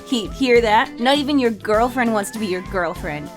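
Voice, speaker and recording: A rowdy little brat of a boy, played by a woman, jeers in a high, loud voice. He is rude and mocking in this story dub. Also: animated, normal speed, music in the background, close to an everyday voice. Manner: jeering, loud.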